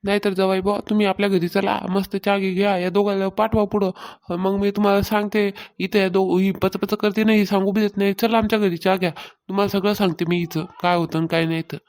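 Actor as gossiping village woman, flat-toned. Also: mid-range voice, fast, close to an everyday voice. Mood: taunting, insistent. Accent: rural Maharashtrian. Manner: taunting, insistent storytelling. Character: gossiping, meddling older village neighbour woman. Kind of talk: Marathi comedy cartoon dialogue.

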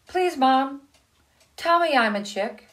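A woman voicing a small duckling who insists he is a chick, in a high pleading childlike voice for a storybook read-aloud. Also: expressive, slow pace, fairly bright tone, heavily acted.

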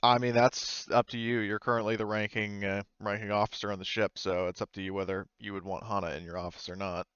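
A man with a lowish, smooth voice acts as game master in a casual tabletop session. He narrates haltingly, thinking aloud as he goes. The sound is dry and slightly dulled, like a voice chat. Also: level tone, a bit bright, dry recording, dull audio.

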